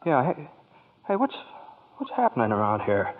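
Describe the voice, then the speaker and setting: An actor playing a despairing, bitterly wry family man in a radio drama, halting, stammering and sardonic. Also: mid-range voice, wildly swooping pitch. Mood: bewildered. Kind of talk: old-time radio drama acting. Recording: tinny vintage radio broadcast.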